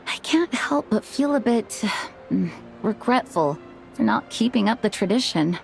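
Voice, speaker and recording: An actress playing a calm, dutiful female knight leader who speaks in a measured, kind way. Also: fairly high pitch, creaky. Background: soft game music.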